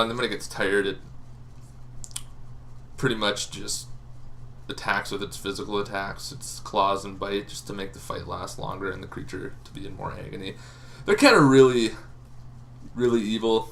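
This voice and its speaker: A young man with a lowish voice and a crisp, forward tone talks through tabletop game lore in a loose, rambling way, full of ums and false starts. A faint hiss sits under the recording. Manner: relaxed, rambling, many ums and restarts. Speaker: tabletop game video host. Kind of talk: casual explainer talk.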